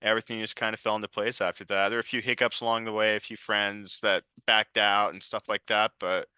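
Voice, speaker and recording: Brief: male interview guest, twenties, lowish but very bright voice, informal, close dry recording. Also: level tone, a little fast, smooth, no echo. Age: 20s. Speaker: interview guest, writer and director. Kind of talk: casual conversational interview answers.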